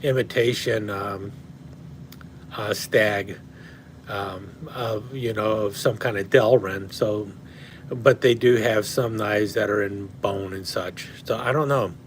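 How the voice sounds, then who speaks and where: A man hosting a casual livestream and rambling with lots of fillers and restarts, his voice slightly hoarse from talking. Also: fairly low pitch, steady tone, slow pace, slight background noise. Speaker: knife collector livestream host. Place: at home indoors.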